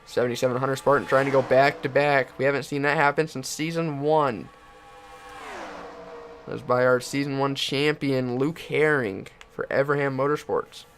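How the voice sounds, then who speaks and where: A young man giving excited play-by-play commentary on a video game car race and hosting his channel, getting hoarse as he goes. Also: mid-range voice, moderate pace, slight background noise. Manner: builds to shouting excitement at the finish.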